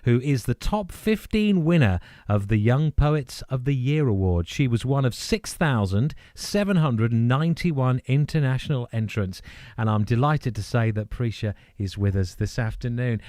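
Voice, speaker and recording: A man presents a live radio interview in an encouraging, enthusiastic way. His middle-pitched voice sits close on the microphone in a very dry studio. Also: animated, moderate pace, a little mellow, no room sound. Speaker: radio host.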